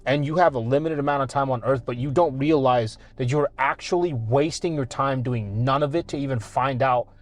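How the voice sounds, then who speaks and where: A man's voice: mid-range voice, moderate pace, hardly any pauses. A man co-hosting a casual podcast, emphatic and repetitive, swearing often. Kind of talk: casual chat.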